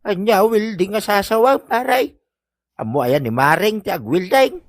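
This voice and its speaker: Man acting a high-pitched, nosy Ilocano neighbour. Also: animated, normal speed, no room sound, clean studio sound. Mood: astonished. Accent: Filipino (Ilocano). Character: teasing, nosy Filipino male neighbour, easily amused. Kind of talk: broad comic drama acting.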